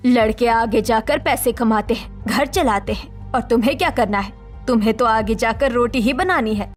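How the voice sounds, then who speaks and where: A woman's voice: on the high side, normal speed, soft background music, lightly acted. A woman voicing a stern middle-aged mother in a cartoon story, scolding and dismissive. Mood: scornful.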